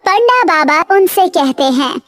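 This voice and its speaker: A woman's voice: extremely high pitch, expressive, unhurried, fairly bright tone. A woman narrating a scripted moral story for children.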